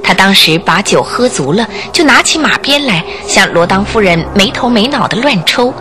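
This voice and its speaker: A woman narrating a Chinese radio drama, telling the story in a measured way with theatrical emphasis. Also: medium pitch, expressive, bright, forceful tone. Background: music.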